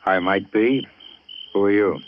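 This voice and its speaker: Man acting gruff mocking detective, lowish voice, brusque, 1940s radio drama, muffled recording with faint noise. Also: fairly even intonation, a little slow, lightly acted. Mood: suspicious, sardonic. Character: gruff, sarcastic hard-boiled police detective. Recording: dull, hissy old radio recording.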